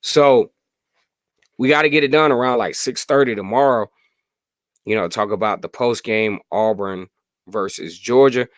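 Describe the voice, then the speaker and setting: A hoarse man in his late thirties hosting a casual sports podcast live stream, chatting freely and answering viewer comments. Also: lowish voice, moderate pace, long pauses, no echo, clean studio sound. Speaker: podcast host.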